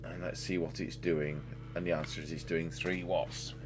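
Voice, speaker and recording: A middle-aged man giving a casual, halting repair demonstration, thinking aloud as a technician at work. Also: low-pitched. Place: indoor workbench. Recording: steady hum and background noise.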